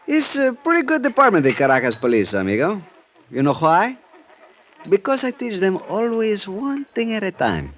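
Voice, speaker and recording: An actor with a Spanish accent playing a genial, sly Venezuelan police chief in a golden age radio drama, leisurely and teasing. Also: male voice, high voice, huge pitch swings, a bit bright, a little hiss or hum, heavily acted. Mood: evasive, teasing. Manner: leisurely, teasing, courtly, halting phrases.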